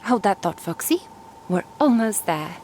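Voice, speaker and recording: A woman playing a curious, composed traveling merchant and master in an ASMR roleplay, speaking in a measured, formal, inquisitive way. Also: medium pitch, big pitch swings, a little mellow, slight background noise. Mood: composed, probing. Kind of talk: ASMR roleplay audio drama.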